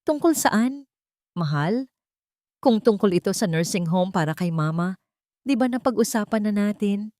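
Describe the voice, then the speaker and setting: A woman's voice: mid-range voice, expressive, moderate pace, long pauses, mellow, dry recording, studio quality, voice pushed up, natural voice. A woman voicing a scheming wife who pleads with feigned innocence in an audio drama story. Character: scheming wife, falsely sweet, pleading and lying desperately.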